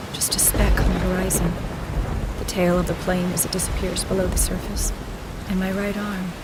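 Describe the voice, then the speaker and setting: A haunted young nurse, voiced by a woman, recounts her ordeal in an audio drama. Her voice sits a little low, with grave, measured, vivid storytelling. Loud storm noise surrounds it. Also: natural voice. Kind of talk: first-person audio drama narration.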